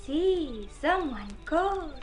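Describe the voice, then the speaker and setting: Demure young Spanish señorita, extremely high voice, slow, coy, hesitant, old radio drama. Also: female voice, lively intonation, soft background music, exaggerated voice. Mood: shy, cautious. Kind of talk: vintage radio drama acting.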